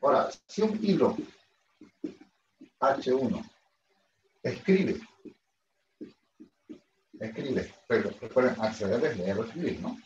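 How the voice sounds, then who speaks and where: A man's voice: medium pitch, very animated, takes it slowly, pauses often, a little mellow, slight background noise. A middle-aged man teaching an online class at a whiteboard, chatty and self-deprecating, often asking his students questions through a headset mic. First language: Spanish. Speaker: university lecturer. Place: room at a whiteboard, indoors. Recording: roomy, slightly dull video-call audio.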